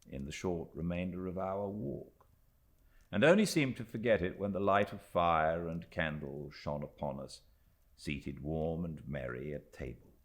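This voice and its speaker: A British man narrating classic fiction in an expressive, deliberate way, with dramatic pauses. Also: low-pitched. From Britain. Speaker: audiobook narrator. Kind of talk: scripted literary narration.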